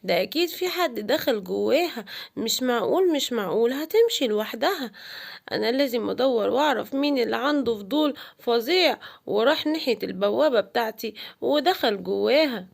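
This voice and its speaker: A woman's voice: fairly high pitch, expressive, a little fast, vocal fry. A woman with an Egyptian accent voicing a fretful inventor in a children's cartoon. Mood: fretful, puzzled. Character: inquisitive inventor of a time portal, fretful. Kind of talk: animated children's story.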